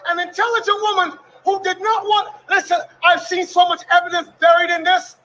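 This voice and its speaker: A middle-aged man delivers an angry, impassioned denunciation in a very high, strained pitch. His voice is bright and forceful, and he shouts like an indignant preacher. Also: little pitch movement, brisk, a little hiss or hum, poor audio. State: agitated, angry. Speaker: defendant's father speaking out.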